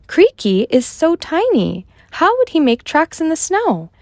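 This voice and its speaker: A woman's voice: extremely high pitch, wildly swooping pitch, measured pace, dry recording, pitched above the performer's own voice, full character voice. A woman voicing a curious, doubting young woman who questions eagerly, in a children's storybook reading.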